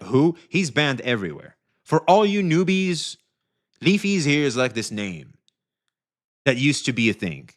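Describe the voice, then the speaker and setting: A male streamer giving animated, profane commentary, speaking straight to his chat. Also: medium pitch.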